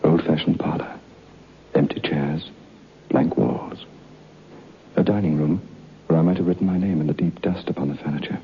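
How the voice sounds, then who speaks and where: A solemn, haunted man narrating vintage radio horror. His low voice has a gravelly edge and moves in deliberate, dramatic phrases, on a dull old recording. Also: steady tone, a little hiss or hum. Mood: ominous. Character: solemn, haunted man recounting a ghostly tale. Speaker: narrator and lead actor in a radio play.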